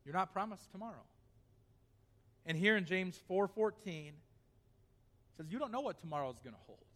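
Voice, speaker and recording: A man's voice: sits high, expressive, normal speed, a bit bright. Man preaching with a ringing hall reverb. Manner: reads scripture aloud, then preaches with rising emphasis. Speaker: pastor. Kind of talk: sermon. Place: church.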